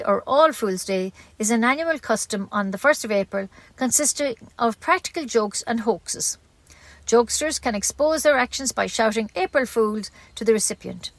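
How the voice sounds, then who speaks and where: Irish female newsreader for community radio bulletin, slightly high voice, close dry sound. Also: no echo. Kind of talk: scripted community news reading.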